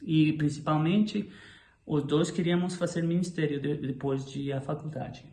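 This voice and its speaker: A man from Guatemala whose first language is Spanish, a missionary speaking in halting sentences with many restarts. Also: fairly high pitch, fairly even intonation, mellow. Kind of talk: casual personal testimony. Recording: echoey room.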